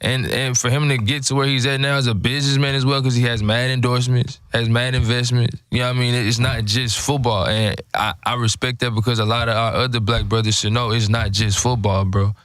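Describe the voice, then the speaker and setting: A man's voice: mid-range voice, little pitch movement, a little fast, hardly any pauses, fairly bright tone, clear, resonant tone, no room sound. A man co-hosting a casual podcast, talking in loose slang and often checking in with tag questions. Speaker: podcast co-host. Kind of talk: casual group podcast discussion.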